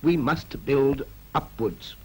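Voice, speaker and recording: An actor with a British accent playing a brisk, persuasive town planner in a 1940s animated public information film, clipped and instructive. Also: male voice, mid-range voice, background noise, lightly acted. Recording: vintage 1940s film soundtrack with music.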